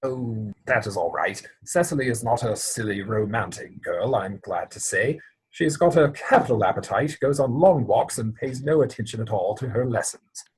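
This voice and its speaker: A man acting an exasperated, earnest gentleman in a Victorian stage comedy, with formal, mannered phrasing, recorded at home over a video call. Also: fairly low pitch, lively intonation, normal speed, slightly rough, low-quality recording. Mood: breezy. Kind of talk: stage comedy dramatic reading.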